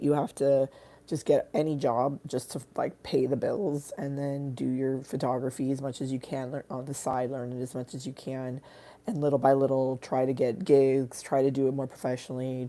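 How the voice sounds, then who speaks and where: Artist host, woman, very deep and mellow voice, loose rambling livestream talk with frequent swearing. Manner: rambling, candid, swears often. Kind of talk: casual livestream chat.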